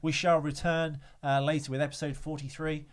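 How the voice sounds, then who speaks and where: A man's voice: on the high side, flat delivery, fairly quick. A young man co-hosting a podcast in casual, candid chat with crude humor and plenty of fillers. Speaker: podcast co-host.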